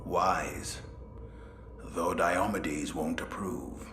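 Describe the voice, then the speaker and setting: An actor playing a solemn, battle-hardened space marine officer in a video game, with a declamatory delivery. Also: male voice, low-pitched, fairly even intonation, very slow, background noise. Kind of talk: video game cutscene acting.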